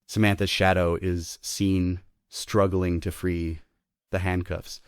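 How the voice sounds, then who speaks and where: A man's voice: low voice, little pitch movement, slow, clean tone, no room sound, studio quality. A man giving scripted narration, reading out the stage directions of a play.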